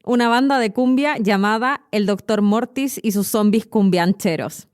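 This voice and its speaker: A woman's voice: medium pitch, moderate pace, clean tone. A woman with a Chilean accent hosting a language podcast, narrating scripted educational stories and addressing the listener directly. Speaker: podcast host and Spanish teacher. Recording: music behind the voice.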